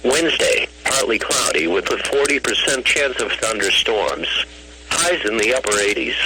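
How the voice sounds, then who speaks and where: A synthetic man's voice reading a scripted weather bulletin over the radio, formal and flat, in clipped phrases. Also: medium pitch, moderate pace, a crowd in the background. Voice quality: synthetic text-to-speech voice. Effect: radio broadcast. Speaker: automated weather radio announcer. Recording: noisy, humming weather radio broadcast recording.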